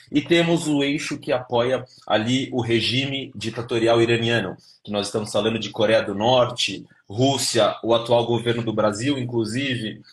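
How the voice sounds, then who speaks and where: A young man from São Paulo with a São Paulo accent, a guest on a live stream, explaining steadily in a lecturing way. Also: medium pitch. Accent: São Paulo Portuguese. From Brazil, São Paulo. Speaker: interview guest.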